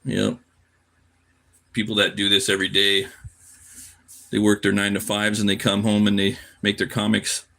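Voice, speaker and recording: A man's voice: lowish voice, completely flat delivery, normal speed, creaky, long pauses, bright, forceful tone. A tired man chatting casually on a livestream while he draws, laid-back and slangy, half muttering to himself. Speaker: cartoonist live streamer.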